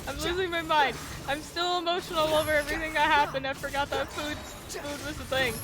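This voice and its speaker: A woman's voice: sits high, fairly even intonation, brisk, crowd noise, low-quality recording. A woman streaming a game with casual live commentary, reacting emotionally and poking fun at herself. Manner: emotional, self-deprecating reactions. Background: loud game music.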